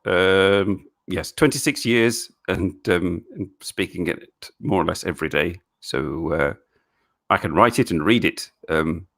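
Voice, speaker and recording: A middle-aged Englishman hosting a casual live stream, answering viewer questions in a halting way with many restarts and frequent chuckles. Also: deep voice, a bit bright. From England.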